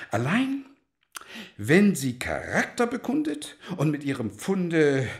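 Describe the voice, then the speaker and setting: An actor playing a worldly, avuncular godfather in a radio play, speaking in a theatrical, florid, deliberate way. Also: medium pitch. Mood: appraising, confident. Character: worldly, avuncular godfather grandly advising a family. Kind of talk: German radio play acting.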